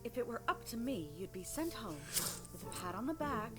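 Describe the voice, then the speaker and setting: A woman's voice: on the high side, lively intonation, brisk, loud music behind the voice, close to an everyday voice. A woman voicing a stern, authoritative adult huntress in a cartoon fan dub, strict and reproving. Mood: stern, scolding.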